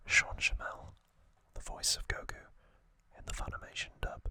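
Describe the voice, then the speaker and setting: A man whispering trivia as an ASMR host into a close microphone, softly, with frequent ums and uhs. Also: normal speed, dry recording. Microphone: close sensitive mic.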